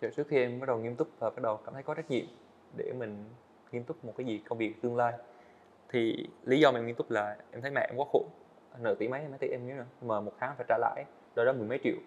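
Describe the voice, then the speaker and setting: A man's voice: medium pitch, fast talker, slight background noise. An eighteen-year-old man, telling his story candidly as a podcast guest in a studio. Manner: earnest, candid, hesitant restarts. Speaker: guest animator. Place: indoor studio set. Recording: dull lo-fi sound.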